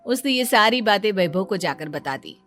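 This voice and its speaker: A woman's voice: mid-range voice, animated, moderate pace, a bit bright. A woman storyteller reading scripted narration of an emotional family story. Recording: music behind the voice.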